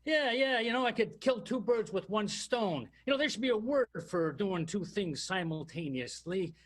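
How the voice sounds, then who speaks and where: Brooding homicide detective, a bit dim, high voice, halting musing, radio soap monologue. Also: male voice, lively intonation. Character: brooding, slightly dim hard-boiled NYPD homicide detective.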